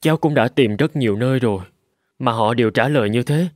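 An actor playing a humble, polite young jobseeker from the countryside in a cartoon, hesitant and earnest. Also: male voice, mid-range voice, fast talker, no room sound, clean studio sound, close to an everyday voice. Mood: downcast. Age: young adult. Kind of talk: animated moral-tale voice acting. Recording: prominent music under the voice.

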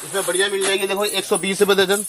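Fast, high-voiced man rattling off prices. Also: little pitch movement. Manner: rapid, repetitive price-counting patter. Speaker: wholesale shop owner. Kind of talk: sales pitch, listing prices. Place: small indoor shop crowded with shelves. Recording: noisy shop recording.

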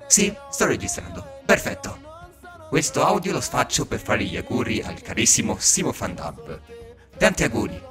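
A man's voice: medium pitch, creaky. A man recording a casual voice message.

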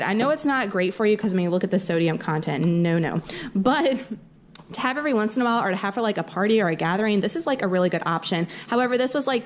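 Upbeat southern gal chatting away at her kitchen counter, camera mic, mid-pitched voice. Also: a little hiss or hum. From Louisiana, US. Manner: chatty and upbeat, lots of "like" and "y'all". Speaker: vlogger. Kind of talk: casual grocery haul vlog. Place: home kitchen, indoors.

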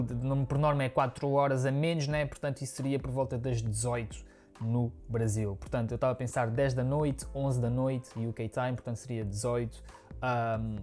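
A man's voice: medium pitch, dark tone. A man in his thirties from Angola, raised in Portugal, with a European Portuguese accent, chatting to viewers in a rambling way as a music reaction host. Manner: chatty, rambling, fond of "né, pessoal". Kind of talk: casual chat to viewers. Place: living room, on a sofa, indoors.

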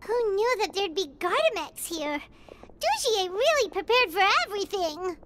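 Tiny excitable fairy girl, extremely high squeaky voice, lively swooping pitch, naive game sidekick. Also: moderate pace, noisy background. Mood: excited, curious. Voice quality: squeaky, childlike. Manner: speaks of herself in third person. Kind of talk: video game voice acting. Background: background music.

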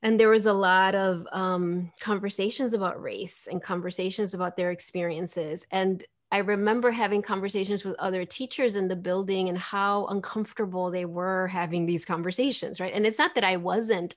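A woman in her forties whose first language is Spanish, talking earnestly and reflectively as a guest on a video call from home. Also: lowish voice. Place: home room with plants and window. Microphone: video call webcam.

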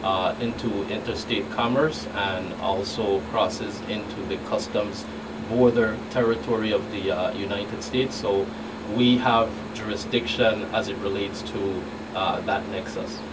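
A middle-aged man answering radio interview questions as a guest agent, halting and repeating words as he explains. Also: on the low side, steady tone. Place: small radio studio. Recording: distant mic, clear room hum.

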